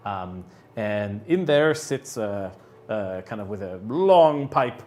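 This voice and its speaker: Halting low-voiced male game master. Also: animated, darkish tone. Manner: halting, hesitant delivery full of filler sounds. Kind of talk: improvised tabletop game narration.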